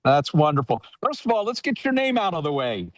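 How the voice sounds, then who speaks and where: Male host, jokey and upbeat, a little higher voice, dry close sound. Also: sing-song, fairly quick, no echo. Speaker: interviewer and host. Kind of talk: hosted interview on a video call. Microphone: video call.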